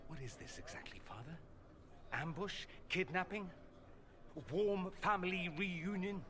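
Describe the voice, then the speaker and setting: An actor playing a proud, defiant mage son in a video game, theatrical and bitingly sarcastic. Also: male voice, sits high, animated, unhurried, pushed and bright, heavily acted. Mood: biting sarcasm. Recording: chatter of a crowd behind.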